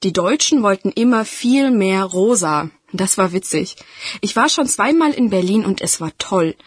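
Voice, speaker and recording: A young woman reading a scripted part as a student, friendly and bright. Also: mid-range voice, lively intonation, normal speed, breathy edge, dry recording, clean studio sound. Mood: cheerful. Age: young adult. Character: young Dutch female student, 19. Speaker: student speaking in a listening exercise. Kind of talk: scripted reading for a listening test.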